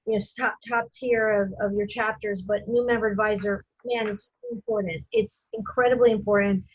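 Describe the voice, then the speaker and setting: Woman host on a video call, higher voice, rambling with fillers, muffled call audio. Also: lively intonation, normal speed, breathy edge, no echo. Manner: rambling, many fillers and restarts. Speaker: regional partner hosting a video call. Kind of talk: casual meeting host talk.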